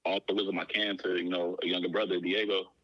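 Deep-voiced man speaking quickly. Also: dry recording, dull audio. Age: 30s. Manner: quick, steady and reassuring. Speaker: guest, mentor.

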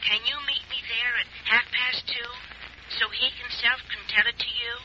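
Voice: mid-range voice, little pitch movement, normal speed, sharp tone. An actor voicing a whimsical, eager little spaceman who speaks in sing-song rhyme in a 1940s radio serial. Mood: chirpy, eager. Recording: old muffled noisy radio recording.

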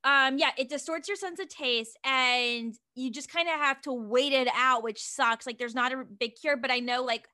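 A woman's voice: sits high, fairly even intonation, normal speed, few pauses, bright, forceful tone. A woman hosting a podcast in casual chat, chatty and giggly, with lots of "like" fillers. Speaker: podcast host.

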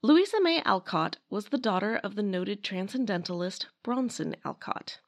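A woman's voice: medium pitch, vocal fry. A woman narrating a scripted history talk in a conversational way, with wry asides. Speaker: podcast host and narrator.

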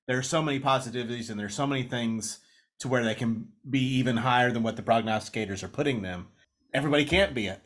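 A man's voice: mid-range voice, a bit bright. A man co-hosting a sports podcast, talking in a loose, rambling way with many restarts. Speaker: podcast co-host.

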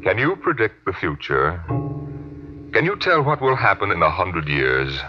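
An actor playing the ominous, teasing narrator of a 1950s radio drama, with a dramatic and suspenseful delivery. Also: male voice, deep voice, sing-song, measured pace. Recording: dull vintage radio recording with loud music.

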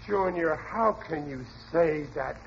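Blustering 1940s radio family father, American, high voice, repeats himself, bad grammar; noisy vintage recording. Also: takes it slowly, vocal fry, dull audio. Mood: indignant, blustering. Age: middle-aged. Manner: stammering, repeating himself, ungrammatical. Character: blustering, uneducated, well-meaning working-class family father. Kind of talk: 1940s radio comedy acting.

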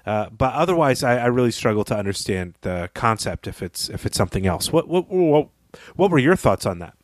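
A man co-hosting a discussion show, reasoning aloud in a reflective, tentative, conversational way with measured phrasing. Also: mid-range voice, big pitch swings, dry recording, clean studio sound. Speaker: podcast co-host discussing fiction.

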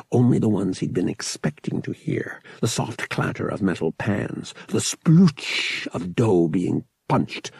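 Male narrator, heavily creaky, breathy rasp, animated pitch, dramatic audiobook reading. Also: no echo.

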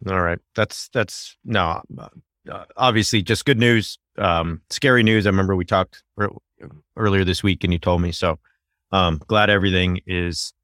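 A man's voice: sits low, fairly even intonation, normal speed, a bit bright, no echo, studio quality. A middle-aged man hosting a podcast, chatting in a friendly, joking way with hesitant fillers. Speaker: podcast host. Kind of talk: casual podcast chat.

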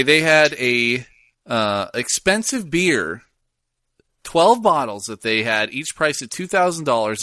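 Lively-voiced man, casual podcast chat. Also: medium pitch, no room sound, studio quality. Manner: rambling, hesitant, reads news aloud. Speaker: podcast co-host.

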